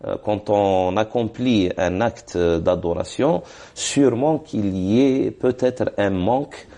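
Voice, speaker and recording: A man's voice: fairly low pitch, takes it slowly, dark tone. A man giving religious advice on a TV program, speaking hesitantly with many fillers and reciting Arabic formulas. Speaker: religious scholar answering questions. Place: TV studio.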